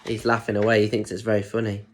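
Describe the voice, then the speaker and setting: A man's voice: lowish voice, completely flat delivery, fast talker. Man reading a picture book to children. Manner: explains pictures to young listeners with questions. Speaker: storyteller reading aloud to children. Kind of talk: expressive picture book read-aloud with chatty asides.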